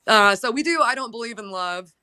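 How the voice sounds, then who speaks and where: A woman's voice: fairly high pitch, expressive, a little fast, bright, forceful tone, clear, resonant tone. A young woman, a musician being interviewed, chatting casually and with enthusiasm. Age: young adult. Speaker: guest musician, singer and guitarist.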